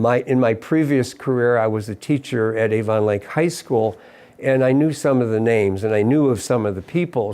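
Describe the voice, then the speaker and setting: A middle-aged man with a voice of everyday pitch. He delivers a civic statement in an earnest, measured way, halting briefly and restarting his phrases as he goes. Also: warm tone. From Ohio, USA. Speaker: mayor. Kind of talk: prepared civic statement to camera.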